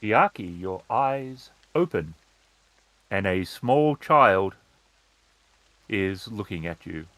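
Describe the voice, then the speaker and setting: A man improvising the narration of a tabletop roleplaying game in measured, halting phrases. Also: on the low side, animated, fairly bright tone, no echo, low-quality recording.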